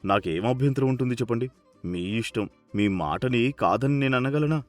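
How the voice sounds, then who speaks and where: Man acting storybook mill boss, kindly, familiar, anxious, medium-pitched voice, faint music. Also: animated, moderate pace, no echo, close to an everyday voice. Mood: defensive, deferential.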